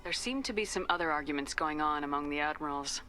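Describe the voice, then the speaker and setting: A firm female starship captain from a video game. Her voice is very low and forceful, and she talks quickly and directly. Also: soft background music. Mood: firm, probing. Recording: clear background noise.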